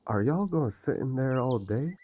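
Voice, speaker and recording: A man's voice: medium pitch, expressive, moderate pace, muffled recording, a little hiss or hum, close to an everyday voice. A man playing a cheery, blunt country werewolf big brother in an immersive audio drama, with a folksy, teasing, hearty way of talking.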